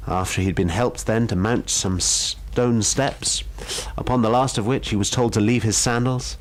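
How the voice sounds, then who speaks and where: A man reading a ghost story aloud in a measured, suspenseful storytelling style. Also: on the low side, fairly even intonation, low-quality recording. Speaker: radio show storyteller.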